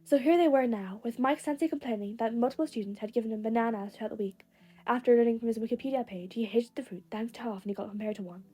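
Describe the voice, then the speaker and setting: A woman's voice: a little high, fast talker, dark tone, breathy edge. A woman reading fan fiction aloud as a scripted storytelling narration. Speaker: podfic narrator.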